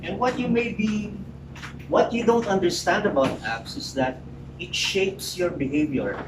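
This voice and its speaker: A man's voice: sits high, unhurried. A man in his forties giving a prepared speech to a live audience, emphatic and rhetorical, talking straight to the crowd. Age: 40s. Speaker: public speaker. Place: meeting room with audience. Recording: roomy hall, mic at a distance, noisy.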